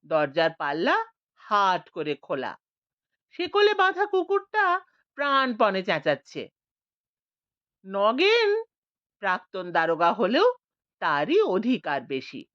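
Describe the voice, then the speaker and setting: A woman narrating an audiobook story in a slow, expressive reading. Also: mid-range voice, no echo. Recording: dull lo-fi dry recording.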